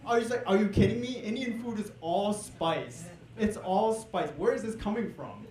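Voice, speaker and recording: A young man doing a stand-up comedy set on a club stage, hyping the crowd and then dropping into deadpan setups. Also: high voice, normal speed. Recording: echoey club with crowd noise.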